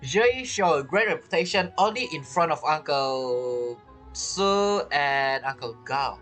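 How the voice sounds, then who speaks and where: Casual gameplay commentator, lively pitch swings, halting non-native phrasing, faint music behind. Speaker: video game streamer. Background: game music.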